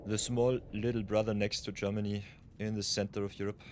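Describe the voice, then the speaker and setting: Man, lowish voice, live game stream, noisy recording. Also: flat delivery, fairly quick. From Austria. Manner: mutters plans to himself, chats with viewers. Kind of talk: casual live-stream commentary.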